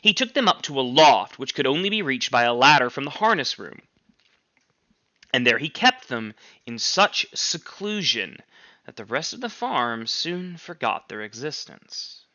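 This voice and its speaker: A man with a forceful, bright voice reads and explains like a teacher addressing his class. His phrasing is expressive and animated, and the sound is dry and slightly muffled. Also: medium pitch, a little slow, no room sound. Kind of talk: reading a novel aloud for a class.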